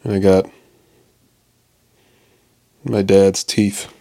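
A man in his late twenties with a fairly low voice hosts a loose solo podcast. He rambles and cuts himself off mid-thought. He swears freely and keeps laughing at his own remarks. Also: level tone, very slow, gravelly, dark tone. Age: 20s. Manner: profane, self-interrupting, laughs often. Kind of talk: casual rambling monologue.

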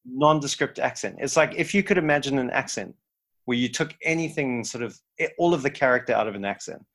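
A man's voice: medium pitch, brisk, fairly bright tone. A man with a South African English accent, co-hosting a casual chat with dry, joking banter. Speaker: podcast co-host.

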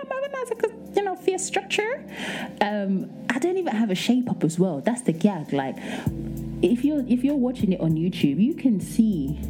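A woman with a light, soft voice, hosting a podcast and telling a story in casual, playful chat. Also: fairly low pitch. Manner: animated, playful, breaks into singing and laughter. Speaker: podcast host.

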